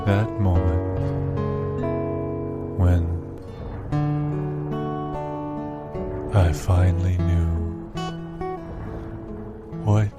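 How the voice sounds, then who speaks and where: A man performs a melancholy, lovelorn male astronaut in a comic audio drama. His deep voice moves very slowly and solemnly, pausing between poetic phrases, while plucked guitar plays prominently behind him. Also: steady tone. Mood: wistful. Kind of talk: slow dramatic monologue over guitar, audio theatre. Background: loud plucked guitar music.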